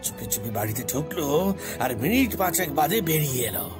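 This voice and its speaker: A man's voice: on the high side, big pitch swings, normal speed, music in the background. A man playing an eccentric, frightened older artist who stammers and repeats himself, in an audio drama. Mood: frightened, conspiratorial. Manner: agitated, stammering, repeating words. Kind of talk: audio story acting.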